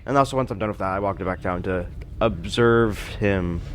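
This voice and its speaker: Lowish male player, asking tentatively. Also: moderate pace. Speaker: tabletop game player. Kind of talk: casual game-table chat. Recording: noisy room ambience.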